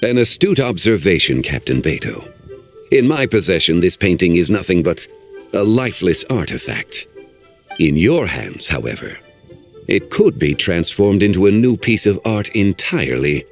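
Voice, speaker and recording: A very deep, unhurried voice of a composed scholar with old-fashioned formal manners. The delivery rises and falls expressively despite the low register. Quiet game music sits underneath. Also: male voice, very animated. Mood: calm, courteous. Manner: formal, measured, erudite. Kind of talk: video game voice acting. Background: faint game music.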